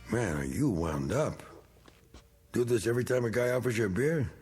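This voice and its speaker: An actor playing a needling, bitter middle-aged American war veteran with folksy, slangy talk in a vintage TV drama. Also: male voice, mid-range voice, big pitch swings, moderate pace, a little mellow, soft background music. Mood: wry, edgy. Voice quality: gravelly. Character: needling, bitter male war veteran, rough working man. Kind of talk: 1960s television drama acting.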